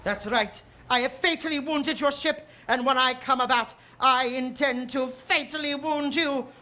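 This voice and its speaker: Grandiose vengeful villain played by a woman, high sharp voice, taunting, theatrical menace, stage musical parody. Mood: vengeful, menacing. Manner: menacing, theatrical drawn-out emphasis. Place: live stage.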